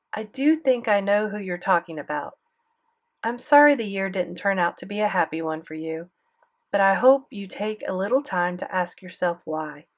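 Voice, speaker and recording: A kindly male teacher, voiced by a woman narrator offers counsel in an audiobook letter. The delivery is measured and gently questioning, at a medium pitch, with steady encouragement. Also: close to an everyday voice. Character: kindly, encouraging male schoolteacher writing to pupil.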